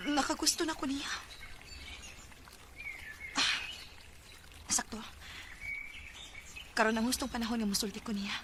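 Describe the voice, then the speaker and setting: An actress playing an anxious, tender young working woman in a Filipino radio drama, speaking with a Bisaya accent. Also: high-pitched. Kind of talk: Filipino radio drama acting and first-person narration. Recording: noisy radio drama with ambient effects.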